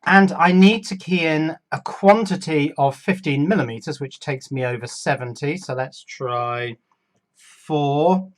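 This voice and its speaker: A man's voice: fairly high pitch, unhurried. A middle-aged man narrating a hands-on electronics tutorial in a casual, unscripted way, thinking aloud as he works. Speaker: electronics hobbyist presenter.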